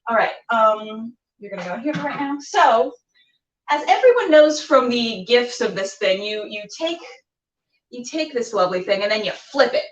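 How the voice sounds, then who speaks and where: Playful, higher-voiced woman in a roomy space. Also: animated, moderate pace. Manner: playful, rambling, talking to the food and herself. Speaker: host of a live cooking and interview show. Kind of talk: casual chatty cooking demonstration to camera. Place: indoors while cooking, live stream. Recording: roomy, distant, dull camera sound.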